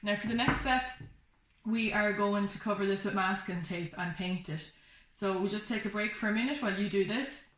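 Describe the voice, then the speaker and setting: A woman's voice: mid-range voice, moderate pace, fairly bright tone. A woman giving a casual, hands-on craft tutorial, explaining each step in an informal, reassuring way. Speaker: craft workshop instructor. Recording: echoey room with background noise, clicks.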